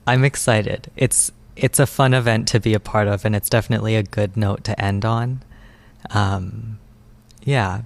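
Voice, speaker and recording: A man's voice: fairly low pitch, steady tone, normal speed, gravelly. A young man answering questions casually as an interview guest. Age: young adult. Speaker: student theater production member, interviewee.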